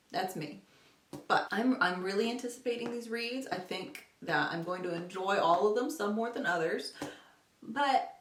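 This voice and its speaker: Gushing young woman in an echoey room. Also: a little high. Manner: gushing, emphatic, enthusiastic. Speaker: book vlogger. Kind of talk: casual chatty book talk to camera. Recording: roomy, echoing home recording.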